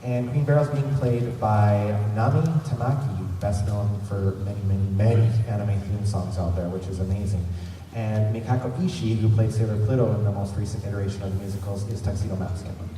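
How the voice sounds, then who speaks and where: Low-voiced male panel host, very echoey hall. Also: steady tone, a little fast, hardly any pauses, mellow. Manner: rambling, enthusiastic. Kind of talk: informal fan presentation. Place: large convention centre room. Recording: echoey hall from far off, noisy. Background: room noise.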